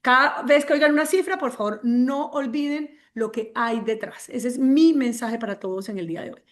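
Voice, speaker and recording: A woman moderating a webinar, giving earnest, measured opening remarks. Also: on the high side.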